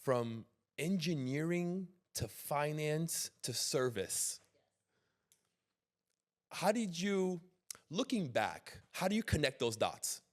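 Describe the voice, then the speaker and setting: A man's voice: fairly high pitch, big pitch swings, slow, pauses often. A man hosting a podcast, interviewing in a casual, chatty style.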